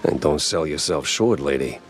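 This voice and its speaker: An actor playing a wisecracking, deadpan hard-boiled mouse detective in a noir cartoon game. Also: male voice, a bass voice, normal speed. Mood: wry. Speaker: private investigator protagonist.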